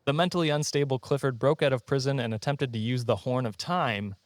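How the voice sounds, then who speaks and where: A man's voice: mid-range voice, fairly quick, no room sound, studio quality. Jokey man hosting a casual podcast. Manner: jokey, self-deprecating, laughs often. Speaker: podcast host presenting a countdown. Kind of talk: casual comedic storytelling.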